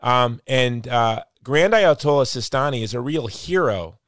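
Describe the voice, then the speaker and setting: A middle-aged man hosts a conversation in a dry, close sound. His pitch is average, and he talks reflectively, repeating phrases to drive them home. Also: animated, a little slow, no echo. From United States. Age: late 40s to 50s. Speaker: interview host. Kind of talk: conversational interview.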